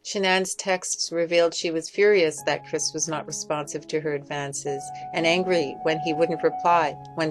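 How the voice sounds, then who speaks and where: A woman reading a scripted critical commentary as a video essay narrator. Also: low voice. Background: music.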